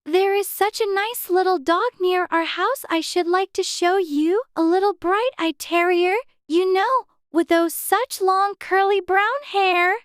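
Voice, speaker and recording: A woman's voice: extremely high pitch, unhurried, hardly any pauses, no echo, studio quality, voice pushed up. A woman voicing a polite, eager little girl with an earnest, childlike manner in a dramatized audiobook. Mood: eager, chatty. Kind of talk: dramatized audiobook character dialogue.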